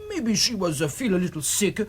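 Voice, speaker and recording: An actor in a radio drama playing a shifty, servile Egyptian cinema manager who pleads in broken English. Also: male voice, high-pitched, sing-song, a little fast. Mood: eager to please.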